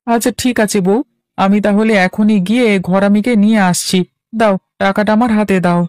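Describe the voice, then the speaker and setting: An actor voicing a naive, dithering young village husband who is anxious to please in a cartoon. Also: male voice, high voice, steady tone, normal speed, smooth, dry recording, studio quality, lightly acted. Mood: obedient. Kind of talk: Bengali cartoon voice acting.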